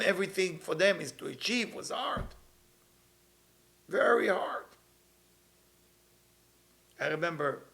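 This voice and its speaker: A man, his voice sitting a little higher than most men's. He teaches with earnest conviction, circling back over his phrases in loose grammar. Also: creaky, pushed and bright. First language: Hebrew. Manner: earnest, repeats phrases, non-native grammar. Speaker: kabbalah teacher. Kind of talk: weekly spiritual talk.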